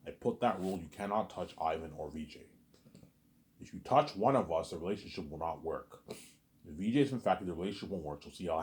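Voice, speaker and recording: A man talking straight to his audience in a rambling monologue, repeating himself, stumbling over restarts, and letting his asides fade quietly. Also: deep voice. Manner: repetitive, stammers on restarts, trails off quietly on asides. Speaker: solo vlogger.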